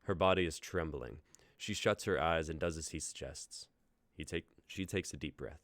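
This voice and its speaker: Very deep-voiced man reading directions. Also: normal speed, a bit bright, no room sound. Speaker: narrator reading stage directions. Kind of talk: reading scripted narration aloud.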